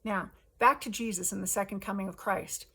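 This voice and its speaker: A woman host giving an earnest spiritual testimony in casual language, speaking emphatically with halting pauses between short phrases. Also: mid-range voice, fairly even intonation, a bit bright, husky.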